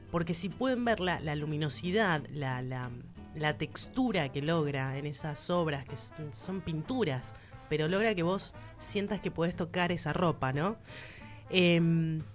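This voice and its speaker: A woman with a Rioplatense accent hosting a casual art-history segment, chatty and emphatic, speaking straight to the listener. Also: lowish voice. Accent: Rioplatense Spanish. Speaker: culture segment host.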